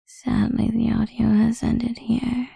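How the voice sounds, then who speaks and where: A woman ASMR creator making an announcement in a breathy whisper. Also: on the high side, level tone, slow pace, warm tone, no echo, studio quality.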